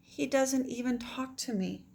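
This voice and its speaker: A woman's voice: sits high, unhurried, vocal fry, a little mellow, breathy edge, voice pushed up, exaggerated voice. A woman voicing a lonely young boy playing pirate in a children's storybook, possessive and defensive. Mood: sorry, pleading.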